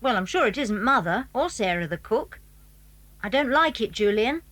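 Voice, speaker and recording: A woman's voice: fairly high pitch, animated, moderate pace, fairly bright tone, no room sound. A British woman playing an eager, cautious schoolboy in a children's audio drama. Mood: conspiratorial, eager. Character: eager, cautious schoolboy who leads his friends.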